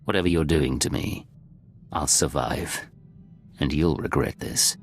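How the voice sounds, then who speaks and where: A man's voice: extremely low pitch, a little slow, gravelly, soft background music, full character voice. A man playing a hard, guarded secret agent in a video game, speaking curtly and defiantly. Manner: curt, guarded. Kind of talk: video game cutscene voice acting.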